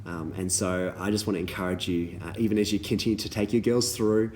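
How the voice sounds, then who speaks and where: A man, a little hoarse from losing his voice, giving earnest thank-you messages to youth leaders with many ums and restarts. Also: low voice, level tone, fairly quick, darkish tone, slight background noise.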